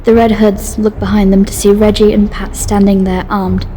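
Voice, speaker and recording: A woman's voice: mid-range voice, level tone, normal speed, dark tone, a little hiss or hum. A woman reading scripted story narration as the narrator of an audio drama.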